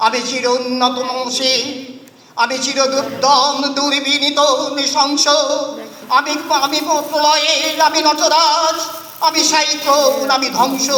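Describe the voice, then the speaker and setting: A man's voice: very high voice, bright, forceful tone. A man reciting poetry in a dramatic, declamatory style, with emphatic, incantatory delivery in short phrases. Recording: echoey hall reverb, distant voice.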